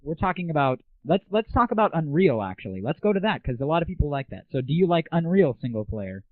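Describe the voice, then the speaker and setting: A young man with a fairly high-pitched voice hosts a casual gaming show. His interviewing is informal and conversational. The sound is thin and phone-like, with very little room echo, like 1990s internet audio. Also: sing-song, fast.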